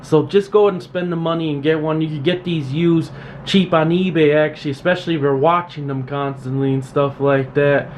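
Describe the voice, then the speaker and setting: A man's voice: fairly high pitch, a little fast. A man giving an informal, unscripted tutorial as a repair technician, plain-spoken and repetitive, with emphatic practical advice. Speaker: radio repair technician hosting a tool tutorial. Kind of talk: informal unscripted instructional talk. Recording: steady background noise, room ambience.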